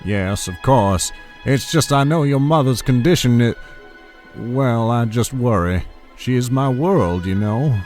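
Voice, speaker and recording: A man's voice: medium pitch, lively intonation, measured pace, a little mellow, natural voice. An actor in an audio drama playing a controlling middle-aged husband and father, formal and placating with an edge of menace. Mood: tender, pleading. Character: controlling, smooth-talking wealthy patriarch, husband and father. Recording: music under the dialogue.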